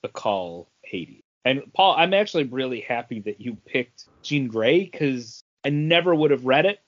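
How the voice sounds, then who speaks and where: A man with a mid-pitched, very animated voice that rises and falls a lot. He chats casually about comics as a podcast co-host, with slightly dull audio. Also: normal speed. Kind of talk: casual comic book review chat.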